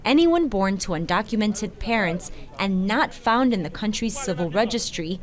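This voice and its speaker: A woman's voice: medium pitch, animated, normal speed. A woman reading scripted news documentary narration in the manner of a reporter.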